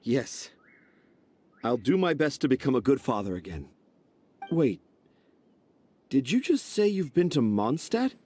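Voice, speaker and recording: Self-deprecating, apologetic middle-aged dad. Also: male voice, medium pitch, sing-song, warm tone, a little hiss or hum. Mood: resolved. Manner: halting, self-reproachful. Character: self-deprecating, apologetic father, a bumbling gentle storyteller. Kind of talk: video game character acting.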